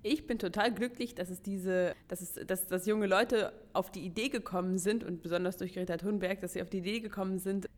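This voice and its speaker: A woman's voice: mid-range voice, fairly even intonation, fairly quick, few pauses. A woman giving spontaneous, personal answers in an interview.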